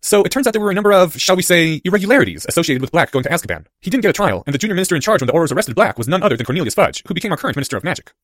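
A man voicing a bright, excitable, nerdy schoolboy who explains things eagerly and wordily in an audiobook story. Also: fairly high pitch, racing, few pauses, dry recording, studio quality, voice pushed up. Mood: earnest explaining. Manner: animated, eager, wordy explaining.

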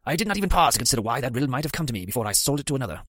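A man's voice: mid-range voice, big pitch swings, a bit bright, dry recording, clean studio sound, pitched above the performer's own voice. A man voicing a guilt-ridden male potions master who speaks formally and in pain. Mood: grave. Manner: formal, measured, pained.

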